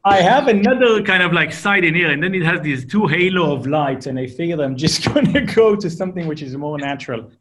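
A man's voice: a little high, animated, fairly quick, few pauses, a bit bright. A man in his forties from Israel with an Israeli accent, a founder being interviewed, talking casually and repeating words as he restarts. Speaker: guest, company founder.

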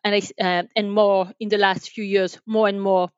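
A woman with a French accent answers interview questions conversationally. Her voice sits at an average pitch, and the sound is dull and very dry, with little of the room in it. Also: little pitch movement, moderate pace, clean tone, dry recording. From France. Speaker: podcast guest, telecom sales manager.

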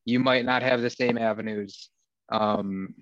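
A man's voice: medium pitch, fairly even intonation, unhurried, fairly bright tone, no room sound. A man with a Wisconsin accent, speaking as a guest in candid talk with a sarcastic, dry wit. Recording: dull lo-fi sound, dry.

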